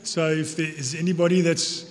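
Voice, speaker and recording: South african guy doing a sermon, pretty high voice, long echo like a church hall, deliberate and earnest. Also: steady tone. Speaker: church elder giving a sermon.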